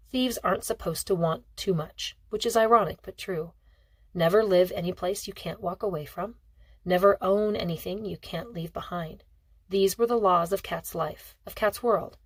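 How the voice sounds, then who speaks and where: A woman's voice: on the low side, slightly rough, dry recording. A woman reading a novel aloud as scripted audiobook narration. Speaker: audiobook reader.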